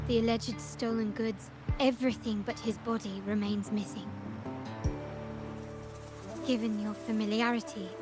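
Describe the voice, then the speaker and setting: A poised young noblewoman from a video game, acted by a woman, with a fairly high voice. She speaks formally and with measured composure, shrewd in manner, over clear background music. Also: close to an everyday voice. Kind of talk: scripted video game voice acting.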